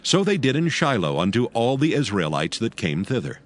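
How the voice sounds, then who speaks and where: A man's voice: medium pitch, sing-song, moderate pace. A man narrating a dramatized audio Bible from a script, with music behind him. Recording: faint music under the voice.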